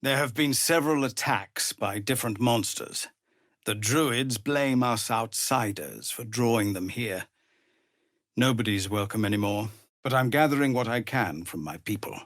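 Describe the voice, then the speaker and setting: A middle-aged man playing a weary, dutiful tiefling commander of refugees in a video game, speaking formally and earnestly with measured authority. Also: medium pitch, a bit bright, no echo, clean studio sound. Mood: grave, earnest. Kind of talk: dramatic video game voice acting.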